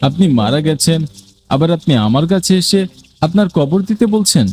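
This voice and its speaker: A man's voice: on the high side, lively intonation, moderate pace, darkish tone, faint music behind. A man narrating a scripted first-person ghost story for a cartoon.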